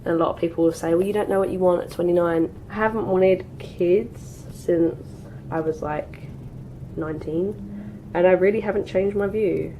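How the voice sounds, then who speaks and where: A woman's voice: on the low side, fairly even intonation, moderate pace, mellow, slight background noise, low-quality recording. A woman in her twenties from the UK chatting through a makeup routine while getting over a cold, with lots of "like" and "um" fillers. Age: 20s. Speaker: beauty vlogger. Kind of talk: get ready with me chat.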